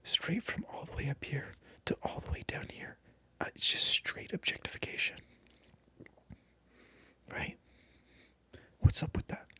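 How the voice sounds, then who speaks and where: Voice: measured pace, long pauses, bright, forceful tone. A person performing a whispered ASMR monologue close to the microphone, addressing the viewer in a teasing, mock-indignant, repetitive way. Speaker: ASMR video creator.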